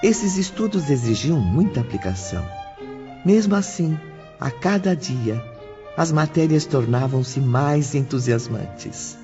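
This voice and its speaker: A man narrating a dramatized audiobook as a grave, formal storyteller with deliberate delivery. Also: medium pitch, expressive, lightly acted. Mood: solemn. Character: measured, grave male narrator of a spiritist novel. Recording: dull lo-fi with clear music bed.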